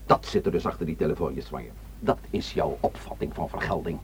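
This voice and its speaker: An actor playing a firm, probing police inspector in a Dutch radio play, authoritative and clipped in manner. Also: male voice, a bass voice, a little fast, a little hiss or hum. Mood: probing, stern.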